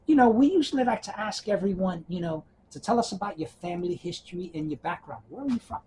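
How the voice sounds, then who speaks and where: Guy archivist running an oral history, warm mellow tone, says you know a lot, slight hiss. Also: high-pitched, expressive, fast. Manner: friendly, encouraging, with many "you know"s. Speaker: interviewer, archivist.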